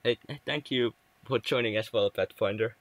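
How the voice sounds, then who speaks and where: A man's voice: lowish voice, steady tone, moderate pace, no room sound. A young man from Finland with a Finnish accent, chatting casually with viewers on a game livestream, often stumbling and restarting words. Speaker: livestream gamer.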